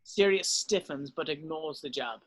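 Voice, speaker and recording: A man with a fairly high voice reads stage directions as narrator at a script table read. The delivery is scripted narration, setting out scenes and actions. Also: moderate pace, a bit bright, slightly rough.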